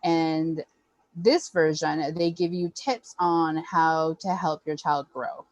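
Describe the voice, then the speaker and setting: A woman's voice: deep voice, flat delivery, slow, no room sound, dull audio. A woman, facilitating a parenting program and explaining things conversationally to parents. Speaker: early childhood program facilitator.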